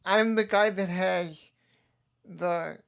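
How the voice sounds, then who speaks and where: A man's voice: high voice, fairly even intonation, slow, gravelly, fairly bright tone, clean tone. A man chatting casually as a guest on a podcast, a podcaster himself. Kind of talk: casual chat.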